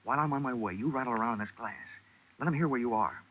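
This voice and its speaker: Male actor doing a tough wisecracking old time radio detective guy, mid pitched, dry wit, lo-fi vintage broadcast. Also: racing, a little hiss or hum, close to an everyday voice. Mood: cool, firm. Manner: wry, deadpan, tough. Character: wisecracking, hardboiled male insurance investigator, cool and cocky. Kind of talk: 1940s radio detective narration and acting. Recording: dull old 1940s radio recording.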